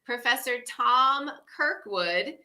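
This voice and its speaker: A woman's voice: fairly high pitch, lively intonation, slow pace, pushed and bright. A woman in her fifties co-hosting a wellness livestream, talking in a casual, effusive way and laughing often. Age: 50s.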